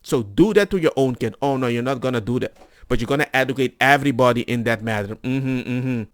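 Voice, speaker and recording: A man's voice: mid-range voice, fairly quick. A man giving casual, off-the-cuff reaction commentary as a host, exasperated and mockingly mimicking the people he disagrees with.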